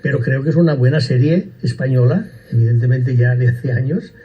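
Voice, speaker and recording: A man's voice: medium pitch, brisk, breathy edge, lo-fi sound. A man co-hosting a radio show, sharing opinions in a chatty way. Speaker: radio co-host. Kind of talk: radio chat.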